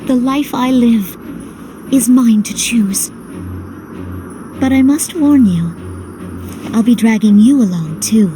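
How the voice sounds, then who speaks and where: An actress playing a spirited, determined young heroine in a video game, sisterly, teasing and earnest. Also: female voice, on the high side, slow pace, long pauses, music in the background. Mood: tender, determined. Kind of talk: dramatic video game voice acting.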